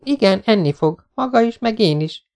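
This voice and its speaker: A man's voice: sits high, lively intonation, vocal fry, a little mellow, pitched above the performer's own voice. A man reading an audiobook, voicing a worried, fiery young woman who pleads with rising emotion. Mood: playful. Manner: pleading, rising to near-hysterical.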